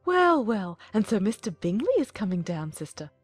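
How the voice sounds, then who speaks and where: A woman voicing a fretful, excitable middle-aged mother in a dramatized audiobook, gushing and plaintive. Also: medium pitch, normal speed, slightly rough, dry recording, faint music behind. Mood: flustered, excited. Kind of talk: dramatized audiobook character acting.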